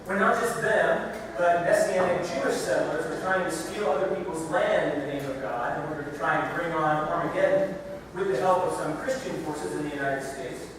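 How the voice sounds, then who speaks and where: A man giving a measured, deliberate public reading of prepared excerpts at a church service. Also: on the high side. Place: large reverberant church room. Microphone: distant microphone. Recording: echoey hall, far from mic, noisy.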